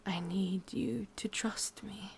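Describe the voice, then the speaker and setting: A woman with an English accent voicing a possessive, sadistic yandere witch in breathy, close-up whispered ASMR roleplay. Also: mid-range voice, steady tone, a little mellow, slight background noise. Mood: devoted, possessive. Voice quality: breathy, husky. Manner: breathy whispering, slow intimate delivery. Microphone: close microphone.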